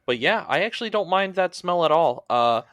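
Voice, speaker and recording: A man's voice: fairly high pitch, animated, a little fast, fairly bright tone, dry recording, studio quality. A man co-hosting a podcast, chatting casually in an easygoing, loosely phrased and hesitant way. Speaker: podcast co-host.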